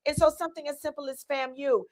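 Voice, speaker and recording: A woman's voice: sits high, steady tone, quick pace. A woman running for county commissioner, earnestly laying out her plans with many ums and restarts. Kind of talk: answering interview questions.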